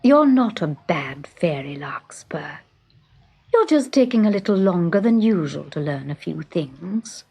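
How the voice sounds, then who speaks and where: Storybook fairy queen, voiced by a woman: regal, gentle and wise, slow, soft, patient delivery. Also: fairly high pitch, big pitch swings, slightly rough, heavily acted. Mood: gentle, consoling. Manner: soft-spoken, patient, reassuring. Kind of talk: children's storybook character voice.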